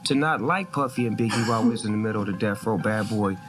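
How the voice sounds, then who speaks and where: A man's voice: on the low side, fast, over music. A man casually recounting his own stance in slangy speech.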